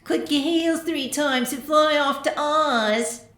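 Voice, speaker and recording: A woman's voice: sits high, slow, a bit bright, roomy sound, pitched above the performer's own voice. A woman voicing a haughty ten-year-old girl bully, snide and mocking, in a story reading.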